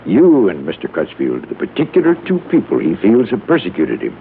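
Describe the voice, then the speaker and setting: An actor playing a prim, pedantic psychologist who pleads in pompous, wordy, precise phrases, in old-time radio style. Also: male voice, lowish voice, wildly swooping pitch, a little fast. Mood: earnest, lecturing. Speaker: radio drama character. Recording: narrow-band vintage radio, faint noise.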